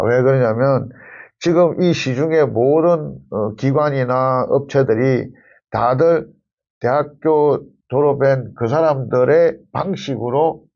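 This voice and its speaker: Middle-aged male, gravelly and lively in pitch, emphatic interviewee dispensing practical advice, slightly dull recording. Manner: emphatic, instructive, repeats key phrases. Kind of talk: conversational advice to camera in an interview.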